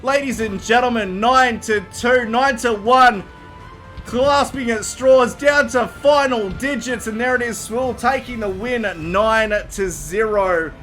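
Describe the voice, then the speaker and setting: A man calling an online shooter match, shouting fast play-by-play. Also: extremely high pitch, faint music behind. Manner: excited, fast, shouting near voice loss. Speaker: esports caster.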